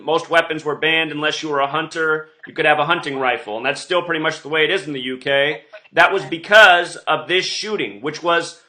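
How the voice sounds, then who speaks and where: A man's voice: a little high, bright, forceful tone. A man hosting an online show, speaking in a rambling, insistent monologue with emphatic delivery. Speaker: online video host.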